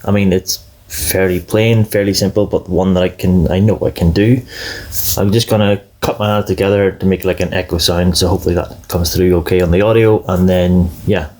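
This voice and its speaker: A man with a very deep voice. He speaks in a plain, casual way as a game show contestant explaining himself. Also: steady tone, fairly quick, darkish tone.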